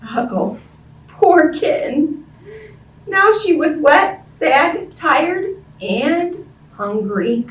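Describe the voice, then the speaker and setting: Animated woman reading slowly in a roomy space. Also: high voice. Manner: expressive, simple child-directed speech with questions to listeners. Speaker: librarian hosting children's story time. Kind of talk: animated picture-book read-aloud and chat to young children. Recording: roomy, distant-sounding, faint background noise.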